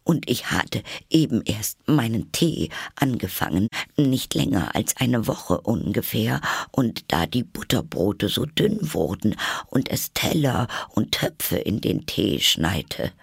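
Poor frightened storybook hatter done by a man, trembling, pleading, husky, creaky. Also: medium pitch, big pitch swings, unhurried, fairly bright tone, dry recording, studio quality, voice pushed up, full character voice. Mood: nervous.

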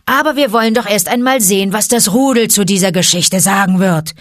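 A woman's voice: medium pitch, normal speed, creaky, a bit bright, no echo, clean studio sound, voice pushed up. A woman reading a storybook and voicing a bullying male tiger who roars his threats. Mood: threatening. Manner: roaring, bullying. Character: menacing boastful male tiger.

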